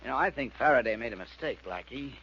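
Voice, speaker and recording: An actor playing a mild, courteous doctor and husband in 1940s radio style. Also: male voice, mid-range voice, expressive, quick pace, close to an everyday voice. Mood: polite. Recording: noisy, muffled old radio recording.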